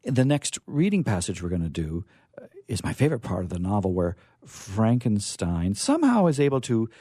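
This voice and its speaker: A man's voice: lowish voice, very animated, normal speed, warm tone, breathy edge, no room sound, studio quality. A man hosting an informal literary discussion, speaking in a hesitant, thoughtful way with repetition for emphasis. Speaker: host.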